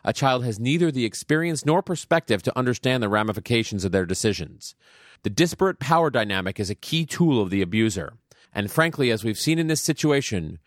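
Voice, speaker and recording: A man delivering a formal prepared statement in a deliberate way. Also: mid-range voice, sing-song, no echo, studio quality.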